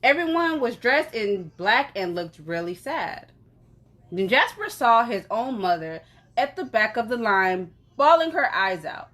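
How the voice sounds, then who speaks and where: A woman reading a story aloud in an animated, conversational way, as if for children. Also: fairly high pitch, a little slow. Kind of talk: expressive children's story narration.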